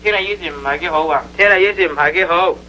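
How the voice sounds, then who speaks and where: A man's voice: sits high, racing, dull audio. A man playing a speaker in China heard over shortwave radio in an old film. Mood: brisk. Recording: harsh, noisy 1930s film radio sound.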